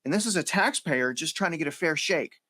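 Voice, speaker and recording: A man hosting an interview, talking in an animated, conversational way and using fillers. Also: a little high, fairly quick. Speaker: host.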